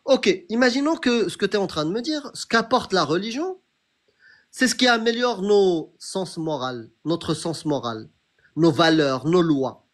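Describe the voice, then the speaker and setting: A young man with a somewhat high voice hosts a debate, talking insistently and pressing his point. He challenges the other speaker again and again, calling him brother. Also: animated, unhurried. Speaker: host of a debate stream. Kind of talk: heated debate on religion.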